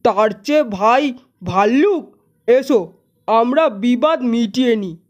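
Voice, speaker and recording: A woman voicing a male lion king, measured and brotherly as he reasons, in a cartoon fable with slightly dull sound. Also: fairly high pitch, animated. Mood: conciliatory, earnest. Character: proud male lion king turned conciliatory.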